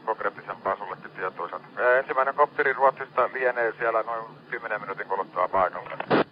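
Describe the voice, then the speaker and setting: A man with a Finnish accent, speaking as a maritime rescue center operator in radio dispatch style. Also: lowish voice. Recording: dull band-limited radio channel.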